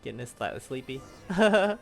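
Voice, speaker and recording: A man's voice: medium pitch, very animated, normal speed, vocal fry. A man giving casual let's play commentary as he streams a video game.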